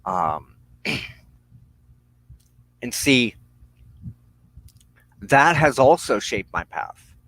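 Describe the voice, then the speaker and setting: A man hosting a spiritual broadcast, giving a reflective, unscripted monologue in an earnest, halting way with emphatic phrasing and pauses. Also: mid-range voice, sing-song, a bit bright, no echo. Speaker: host of a weekly spiritual broadcast.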